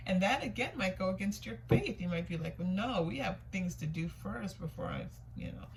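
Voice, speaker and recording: A woman with a mid-pitched voice hosts a tarot reading in a casual, conversational style. She muses aloud as she goes, circling back over phrases and asking herself questions. Background noise is audible behind her. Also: very animated, fairly quick, poor audio. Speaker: tarot reader hosting a reading. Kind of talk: casual intuitive card reading, thinking aloud. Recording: clear background noise, room ambience.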